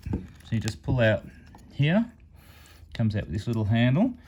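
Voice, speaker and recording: A man's voice: medium pitch, very animated, moderate pace, darkish tone, a little hiss or hum. A man casually demonstrating a gadget hands-on, explaining it step by step in a matter-of-fact way. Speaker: amateur radio reviewer and channel host.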